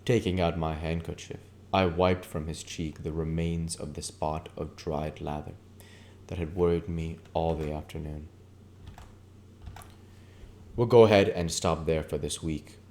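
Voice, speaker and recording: A man's voice: extremely low pitch, steady tone, unhurried, a little mellow, slight background noise. A man reading literary prose aloud as an audiobook narrator and channel host.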